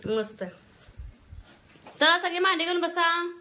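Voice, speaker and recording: A high-pitched woman with a rural Maharashtrian accent talks through her day as a family vlogger. She chats casually, asking questions and telling children what to do. The recording is made at home with clear noise behind her. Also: expressive, slow pace, bright, forceful tone. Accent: rural Maharashtrian Marathi. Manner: chatty, questioning and instructing children. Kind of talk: casual home vlog narration and chat with family.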